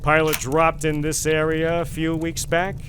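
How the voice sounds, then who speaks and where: Man playing a high-voiced, decisive hero leader. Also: completely flat delivery, fairly bright tone, slight background noise. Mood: earnest. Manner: brisk, commanding. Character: earnest, decisive young American squadron leader hero.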